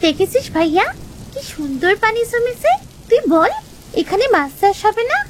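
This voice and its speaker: A performer voicing a dreamy, insistent little village girl in a cartoon, expressive and pleading. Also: very high-pitched, normal speed, slight background noise. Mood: curious, happy. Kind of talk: animated moral story acting.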